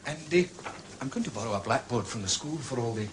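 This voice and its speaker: An actor playing a methodical, proud Scottish police inspector in a period detective drama, speaking precisely and courteously with a Scottish accent. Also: male voice, mid-range voice, animated, quick pace, slight background noise, lightly acted. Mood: proud, businesslike.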